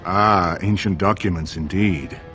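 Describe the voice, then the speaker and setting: An actor playing a formal, scheming dwarf royal advisor in a fantasy video game, speaking in measured, diplomatic phrases. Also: male voice, deep voice, bright, forceful tone, faint music behind. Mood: courtly, measured.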